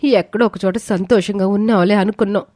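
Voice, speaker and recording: A woman's voice: medium pitch, steady tone, a little fast, lightly acted. A woman voicing an older mother in a story, scolding yet affectionate as she pleads with her son. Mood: hurt reproach.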